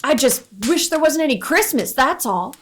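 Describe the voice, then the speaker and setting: A woman's voice: high voice, sing-song, moderate pace, a little hiss or hum. A woman playing a young orphaned runaway boy, sulky and hurt, in an old-time Western radio play.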